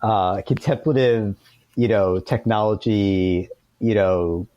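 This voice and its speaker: Low-pitched, mellow male podcast guest. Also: slow pace, no room sound, highs cut off. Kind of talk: conversational interview answers.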